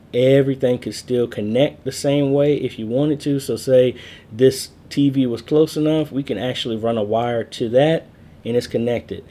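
A man's voice: medium pitch, mellow, slight background noise. A man in his thirties giving a casual screen-share tutorial on a church network, explaining step by step with fillers and small corrections, recorded on a webcam indoors.

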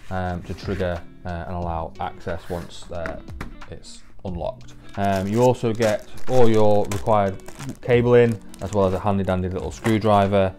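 A man's voice: sits low, level tone, moderate pace, darkish tone, soft background music. A young British man giving an informal, plain-spoken product walkthrough, explaining things step by step in an indoor office. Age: young adult. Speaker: technical manager presenting a product walkthrough. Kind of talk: informal instructional product demonstration. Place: indoor office, seated at a table.

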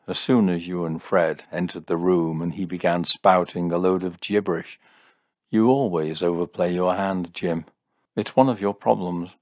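A man voicing a calm, gently reproving young woman with teasing wit in an audiobook, soft-spoken and matter-of-fact. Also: low-pitched, no echo, clean studio sound.

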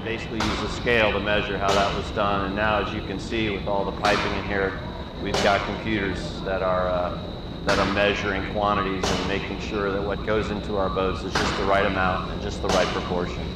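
Man guiding a tour on a loud, echoey factory floor. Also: low voice, little pitch movement, brisk, recorded from a distance. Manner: matter-of-fact, confirms with "that's correct". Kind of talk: explaining on a walking tour. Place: large factory floor indoors. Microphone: handheld mic. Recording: echoey factory hall with loud machine noise, from off mic.

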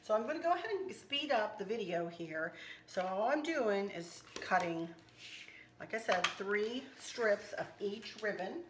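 A slightly hoarse woman hosting a casual craft tutorial, chatting and teasing herself as she works. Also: medium pitch, expressive, a little slow, echoey room, background noise. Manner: chatty, self-teasing, talks while working. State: a little hoarse. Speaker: craft channel host. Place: kitchen counter indoors.

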